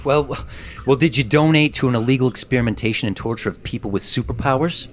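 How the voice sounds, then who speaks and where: A man playing a desperate, excitable reporter in trouble, halting and insistent, in a dramatic script read. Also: medium pitch, a little hiss or hum, close to an everyday voice. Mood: urgent, pleading. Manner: halting, insistent, repeats himself. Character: desperate, pleading male reporter just jailed, excitable.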